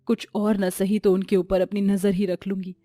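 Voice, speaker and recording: A woman's voice: mid-range voice, level tone, normal speed, warm tone, dry recording, studio quality, close to an everyday voice. A woman voicing a cartoon ghost of a devoted mother, grieving and pleading. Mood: grieving, resolute. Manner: pleading, sorrowful.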